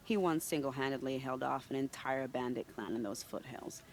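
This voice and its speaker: Creaky-voiced, teasing, charming spy. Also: female voice, very deep voice, a little hiss or hum. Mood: sly, confiding. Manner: teasing, silky, unhurried. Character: sly, charming female spy, playful and secretive. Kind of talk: video game cutscene acting.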